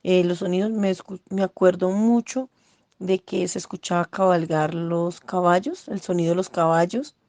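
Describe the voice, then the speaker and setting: A Colombian-accented woman in her forties casually sharing memories, halting as she searches for words. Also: fairly low pitch, steady tone, darkish tone, no echo. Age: 40s. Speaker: interviewee. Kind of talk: casual recollection.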